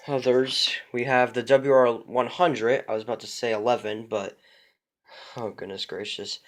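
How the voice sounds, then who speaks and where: A man casually rambles through a show-and-tell of his radios at home, full of fillers and restarts, recorded on a phone. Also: mid-range voice. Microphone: phone camera.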